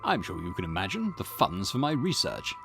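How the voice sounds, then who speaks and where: A man's voice: low-pitched, huge pitch swings, a little fast, creaky, over music, full character voice. A man voicing a conceited, irritable historian and occult researcher in a visual novel, pompous, snappish and condescending. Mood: lecturing, self-important. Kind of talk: acted visual novel dialogue.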